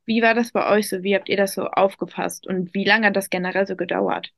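A woman's voice: mid-range voice, steady tone, a little fast, creaky, dry recording, studio quality. A young woman working as a youth reporter, asking upbeat, friendly interview questions. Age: young adult.

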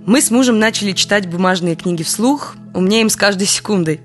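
A woman's voice: mid-range voice, a little fast, soft background music, natural voice. An actress playing a wry, stubborn young woman in an audio play monologue, speaking with dry irony. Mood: dryly ironic. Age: young adult.

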